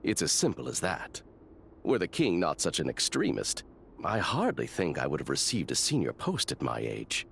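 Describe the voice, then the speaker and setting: A smug royal mage for a fantasy game. His low voice swings widely in pitch with a creaky edge, and he argues his case with practised diplomacy. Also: wildly swooping pitch, normal speed, fairly bright tone, a little hiss or hum, full character voice. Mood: smug, airy. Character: smug, pragmatic male royal chief mage and university president. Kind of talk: dramatic video game voice acting.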